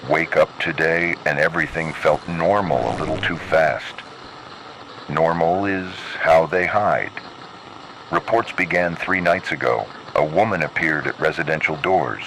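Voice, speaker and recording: A man playing an ominous announcer of horror warning broadcasts, speaking gravely and in a measured, instructing way. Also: a bass voice, sharp tone, slight background noise, full character voice, rough recording. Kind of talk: scripted horror public-announcement narration.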